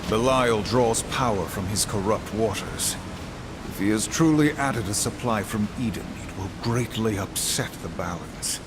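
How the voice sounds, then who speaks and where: A man's voice: on the low side, unhurried, noisy background, natural voice. An actor playing a wrathful, duty-bound horseman of the apocalypse in a game, stern, solemn and terse. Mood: grim. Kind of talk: video game cutscene acting.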